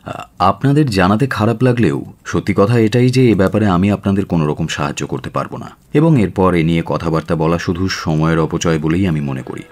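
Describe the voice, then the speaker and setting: An actor playing a cool, self-assured detective in a radio drama, courteous but firm and composed. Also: male voice, sits low, brisk, darkish tone. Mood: cool, dismissive. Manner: composed, courteous, firm, drops in English phrases. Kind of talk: Bengali radio drama acting.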